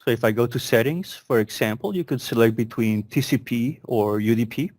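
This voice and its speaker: A man's voice: mid-range voice, fairly quick, a little mellow, no room sound. Man giving a conversational product walkthrough, dry sound. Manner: explanatory, conversational, step-by-step. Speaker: product demonstrator, program manager. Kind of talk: instructional product walkthrough, semi-scripted.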